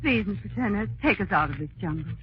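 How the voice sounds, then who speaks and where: A woman's voice: mid-range voice, expressive, quick pace, natural voice. An actress playing an anxious, fearful lady companion with nervous, dramatic 1940s radio delivery. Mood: frightened. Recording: very noisy, muffled 1940s radio recording.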